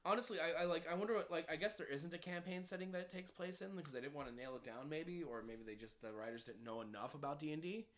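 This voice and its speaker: A man's voice: on the high side, quick pace, hardly any pauses, fairly bright tone. A man co-hosting a podcast, recapping a cartoon in a joking, profane way. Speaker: podcast co-host. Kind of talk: casual chat.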